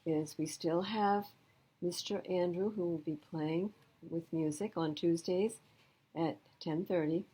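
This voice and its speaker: A woman hosting a children's storytime, speaking in a measured, plain-spoken way as she narrates and chats. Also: lowish voice, a little mellow, a little hiss or hum, poor audio. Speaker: library storytime reader.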